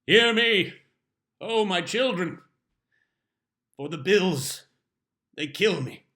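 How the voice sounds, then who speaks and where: Casting: man to play an exasperated, pompous patriarch for a comic mock-biblical reading; higher-set male voice with sharp, forceful edge, solemn and theatrical. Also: expressive, takes it slowly, heavily acted. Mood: aggrieved. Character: stern exasperated father proclaiming household laws like biblical scripture. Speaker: reader performing a humorous essay. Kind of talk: dramatic comic reading in mock-scriptural language.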